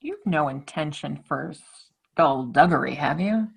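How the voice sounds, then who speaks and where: A woman's voice: low-pitched, animated, unhurried, vocal fry, husky, exaggerated voice. A woman playing a loyal, upright medieval French soldier in a play reading, with emphatic, archaic formal diction. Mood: dry resolve. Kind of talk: stage play table read.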